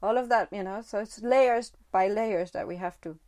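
Reflective woman from Guatemala, mid-pitched. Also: warm tone. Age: adult with a teenage son. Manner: reflective, halting, many "you know"s. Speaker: podcast guest, parent. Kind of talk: conversational interview.

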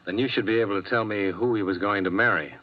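A man's voice: fairly low pitch, quick pace, close to an everyday voice. An actor playing a hard-boiled male insurance investigator, who narrates and questions people in a clipped, dry way in a 1950s radio drama. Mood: probing. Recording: muffled old radio broadcast.

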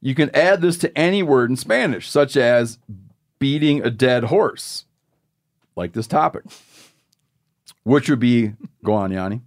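A man's voice: medium pitch, lively intonation, measured pace, pauses often. Male podcast host, casual chat, reads listener mail, joking asides.

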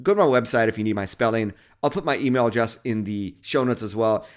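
A man's voice: mid-range voice, fast. A man hosting a podcast, talking in an enthusiastic, chatty way to his listeners and guest. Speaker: podcast host. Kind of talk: podcast intro and interview.

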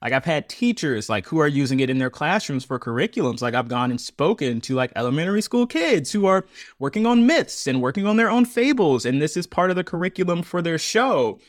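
A man in his thirties, a guest on an interview podcast, talking earnestly and reflectively, often laughing and jumping up in pitch when amused. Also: sing-song, a little fast, no room sound, studio quality. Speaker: podcast guest, audio storyteller.

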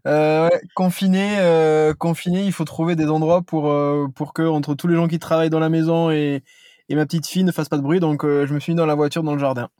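A man's voice: fairly high pitch, little pitch movement, brisk, mellow, dry recording, studio quality. A man in his thirties from Toulouse with a southern French accent, chatting as a guest from a parked car, hesitant with lots of fillers. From Toulouse, southern France. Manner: hesitant, many fillers and restarts. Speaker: guest, company founder. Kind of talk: casual interview chat.

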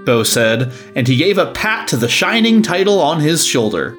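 A man's voice: fairly high pitch, sing-song, normal speed, a bit bright, faint music behind. A man narrating a scripted story.

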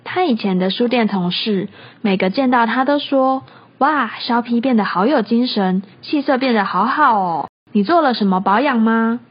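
Husky woman narrating a comic story. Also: fairly high pitch, moderate pace. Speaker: host and narrator, bookstore clerk. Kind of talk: scripted storybook-style comedic narration. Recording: slightly muffled recording.

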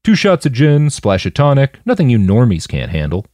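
A man's voice: medium pitch, huge pitch swings, normal speed, darkish tone, no room sound, studio quality, voice pushed up. A man voicing a crude, easygoing male post-human bartender in an audiobook, with folksy, casual slang. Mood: nonchalant.

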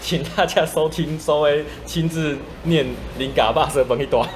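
A man's voice: fairly high pitch, normal speed. A man with a Taiwanese accent hosting a casual podcast chat. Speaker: podcast host. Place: coffee shop. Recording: faint cafe ambience noise.

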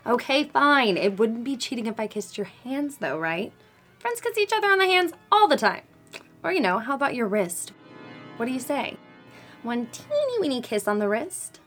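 Woman playing seductive ex-lover, sly, coaxing, low murmuring, somewhat high voice, faint music under. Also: very animated, moderate pace, a bit bright.

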